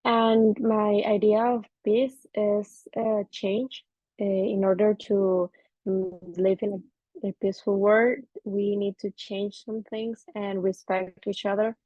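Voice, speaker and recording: A woman from Costa Rica introducing herself over a video call, hesitant and full of fillers. She speaks slowly and evenly, with little rise and fall. The audio is dry and a little dull. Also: mid-range voice, level tone, no echo. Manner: hesitant, many fillers and pauses. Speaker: participant.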